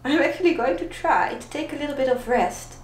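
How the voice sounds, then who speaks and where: A woman's voice: high-pitched, lively intonation, a little fast. A young Dutch woman with a Dutch accent, chatting playfully and self-mockingly in a casual home vlog. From Netherlands. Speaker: vlogger. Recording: echoey room, faint background noise.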